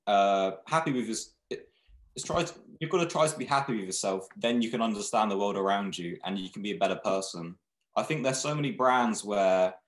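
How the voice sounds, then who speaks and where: Young brand founder, lowish voice, laid-back and rambling, webcam in a roomy-sounding room. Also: male voice. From Thailand, raised in England. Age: young adult. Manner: relaxed, rambling, frequent restarts. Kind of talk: casual video-call interview. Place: small room indoors. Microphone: webcam video call. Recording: echoey room.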